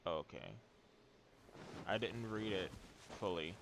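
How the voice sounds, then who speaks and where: A man's voice: low voice, slow pace. A man giving casual, laconic play-along commentary as he streams a game, chill and slangy. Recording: loud game noise and clicking. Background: game audio, clicks.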